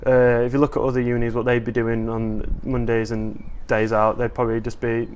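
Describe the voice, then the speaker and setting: Young man, rugby player, flat tone, casual interview, keen. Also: mid-range voice, a little fast, slight background noise. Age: young adult. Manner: casual, filler-heavy, keen.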